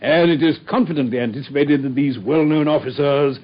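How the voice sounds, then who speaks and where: An actor playing an educated, curious Victorian gentleman with an English accent and a genteel, measured manner in a radio drama. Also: on the high side, lightly acted. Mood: measured reading. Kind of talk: British radio drama acting. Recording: muffled radio drama recording.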